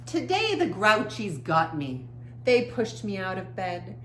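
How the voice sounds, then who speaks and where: A woman hosting a storytime, reading a picture book aloud to children in an expressive storytelling style. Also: mid-range voice, slow pace. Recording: echoey room, faint background noise.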